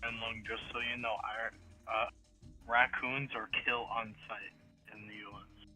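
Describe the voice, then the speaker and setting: A man's voice: lowish voice, flat delivery, unhurried, pushed and bright, slightly rough, faint music behind, rough recording. A man in casual, joking chat with a friend on a livestream, crude and sweary.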